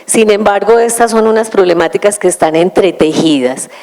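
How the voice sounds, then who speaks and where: A woman's voice: medium pitch, a little mellow. Woman giving a lecture. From Colombia. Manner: measured, explanatory, with frequent hesitations. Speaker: invited lecturer. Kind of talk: conference lecture.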